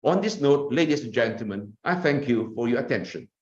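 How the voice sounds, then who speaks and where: A man reading a formal welcome address at a webinar, in a measured, oratorical manner. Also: mid-range voice, expressive. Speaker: conference speaker giving welcome address. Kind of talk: formal read speech. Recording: echoey, dull webinar audio.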